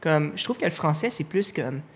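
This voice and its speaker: A man's voice: on the high side, normal speed, husky. Laid-back young man with a raised voice. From Ontario, Canada. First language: English. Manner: relaxed, mixes English slang into French, chuckles often. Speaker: guest, music producer. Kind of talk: casual podcast chat.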